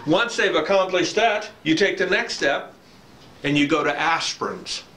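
Older man with a bright, echoey voice. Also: fairly high pitch, unhurried. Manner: explanatory, repeats key points. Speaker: firearms instructor. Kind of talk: informal instructional talk to camera. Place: dim wooden barn or shed, indoors. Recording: echoey room, faint background noise.

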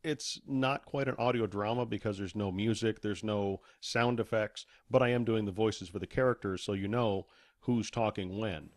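A man's voice: fairly low pitch, moderate pace, dry recording, clean studio sound. A man speaking as an interview guest, an author chatting casually about his work. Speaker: podcast guest, author and audiobook narrator.

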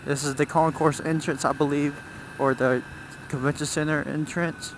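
A man's voice: a little high, flat delivery, normal speed, slight background noise. A man giving casual, rambling commentary while riding a motorcycle, thinking aloud as he goes. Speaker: motorcycle vlogger. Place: outdoors, riding a motorcycle on city roads.

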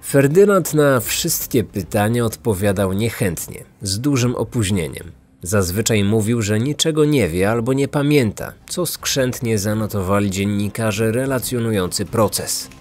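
A man's voice: on the low side, lively intonation, a little slow, few pauses. A man hosting a podcast, reading scripted true-crime narration. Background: faint music.

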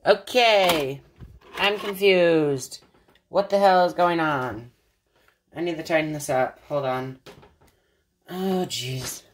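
A woman's voice: low voice, takes it slowly, pauses often, slight background noise. A woman talking informally and with exasperation in a rambling hands-on vlog, with some mild swearing. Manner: informal, exasperated, mildly profane. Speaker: video maker. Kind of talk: casual rambling vlog, narrating a hands-on product test. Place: indoors in a home kitchen area.

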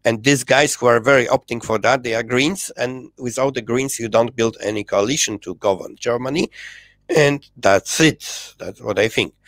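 Polish-accented man in his thirties, video call guest, earnest and halting, dry close sound. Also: mid-range voice, dry recording. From Poland. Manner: earnest, halting with many fillers and restarts. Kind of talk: casual political conversation. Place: small home room, indoors. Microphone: headset on a video call.

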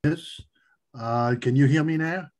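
A man with a French accent asking a halting, apologetic question over a video call. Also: on the high side, darkish tone. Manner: apologetic, halting with many "uh" fillers. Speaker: audience member asking a question.

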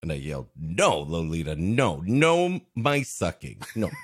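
A man's voice: low voice, wildly swooping pitch, unhurried, breathy edge, no room sound, clean studio sound. A man co-hosting a comedy podcast, improvising rambling banter in a mock-earnest manner. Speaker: podcast co-host.

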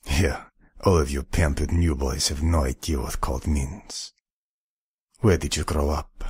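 Man acting a gruff, deep-voiced veteran soldier. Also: steady tone, a little slow, darkish tone, no echo, clean studio sound, exaggerated voice. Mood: grim. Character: hardened veteran soldier from an ice planet.

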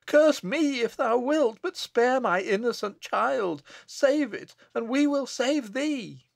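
A fierce witch woman, put on by a male narrator cries out in a very high, shrill pitch. Her archaic storybook speech is impassioned and frantic, leaping sharply up and down. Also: animated, slow pace, gravelly, dry recording, full character voice. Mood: desperate, pleading.

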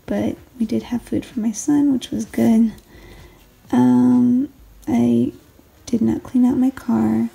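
A woman in her twenties with a raspy late-night voice, rambling casually through her daily planner and correcting herself as she goes. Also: on the high side, rough recording. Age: 20s. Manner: rambling, self-correcting, soft. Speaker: planner vlogger, stay-at-home mom. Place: home desk, filmed late at night. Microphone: overhead camera on the desk.

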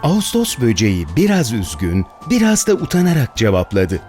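A male storyteller reads a children's fairy tale with a lively, swooping delivery. His voice is mid-pitched, and music plays clearly behind him. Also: moderate pace. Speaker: storyteller, narrator.

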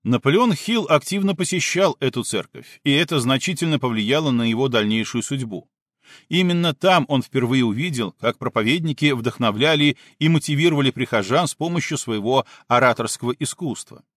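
A man reading a nonfiction audiobook in a measured, clearly articulated scripted narration. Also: medium pitch, expressive, a bit bright, dry recording, studio quality.

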